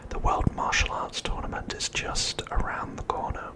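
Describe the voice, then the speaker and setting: A man hosting an ASMR video, speaking in a hushed, deliberate whisper. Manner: hushed, slow, deliberate delivery.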